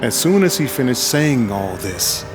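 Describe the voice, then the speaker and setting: A man reading scripture aloud as a dramatized narration, measured and solemn. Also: medium pitch, very animated. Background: music.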